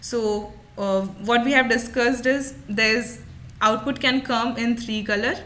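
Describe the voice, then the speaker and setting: A woman instructor explaining a software demonstration step by step, often repeating her points as she goes. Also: on the high side, steady tone, a little slow, a little hiss or hum.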